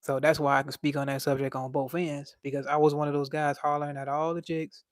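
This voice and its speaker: A man's voice: fairly high pitch, little pitch movement, fast, dry recording, studio quality. A young man with a light voice, chatting casually on a livestream and reading out chat in a laid-back, slangy way.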